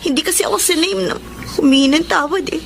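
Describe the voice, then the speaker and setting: A proud yet remorseful young woman in a Filipino radio drama. Her voice is high and earnest, with clear noise on the recording. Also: fast. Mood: earnest, pleading.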